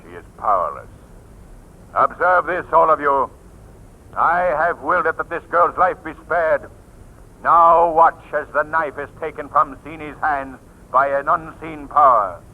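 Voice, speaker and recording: Mysterious declamatory avenger, stern, mocking, slightly high voice, vintage radio thriller with thin phone-like sound. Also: male voice, measured pace. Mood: commanding. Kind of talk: 1940s radio acting. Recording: old tinny radio recording.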